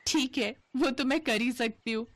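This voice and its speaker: A woman's voice: sits high, moderate pace, a little hiss or hum, pitched above the performer's own voice, natural voice. A woman voicing a sweet, simple young bride, polite, frank and innocent, in a cartoon story.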